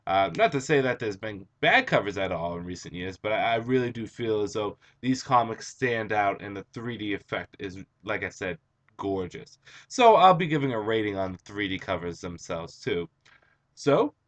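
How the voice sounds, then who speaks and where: Hoarse, raspy young guy for an eager, rambling comic review on a webcam. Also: mid-range voice. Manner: enthusiastic, chatty, with frequent um and uh. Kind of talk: casual video review. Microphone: computer webcam mic.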